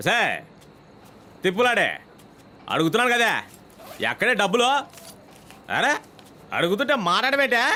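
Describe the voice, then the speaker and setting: Shrill, high-pitched bullying man. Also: sharp tone, slight background noise. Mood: demanding, scolding. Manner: harsh, scolding, threatening. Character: bullying, greedy exploiter shaking down a young pickpocket. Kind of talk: film drama dialogue.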